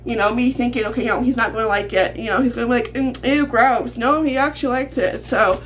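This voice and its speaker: High-voiced woman, chatty vlogger. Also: fast. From Canada. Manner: rambling, chuckles often. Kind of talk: casual chatty grocery haul vlog. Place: small home kitchen, indoors. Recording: noisy home kitchen camera audio.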